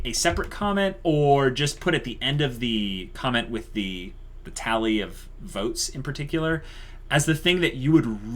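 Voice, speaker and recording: A man's voice: medium pitch, fairly bright tone. Casual man hosting an online channel. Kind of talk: casual chat to viewers.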